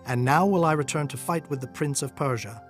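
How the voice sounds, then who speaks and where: A man's voice: on the high side, natural voice. Solemn angelic messenger, acted by a man, over music. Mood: solemn, grave. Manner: solemn, measured, declamatory. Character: solemn male angel messenger foretelling wars of kings. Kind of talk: dramatized scripture reading. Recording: music behind the voice.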